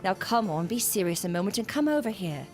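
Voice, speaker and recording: Woman playing a female scientist, earnest, exacting, formal precise diction, mid pitch, period audio drama, musical backing. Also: big pitch swings, brisk, over music, lightly acted. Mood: intent, explaining.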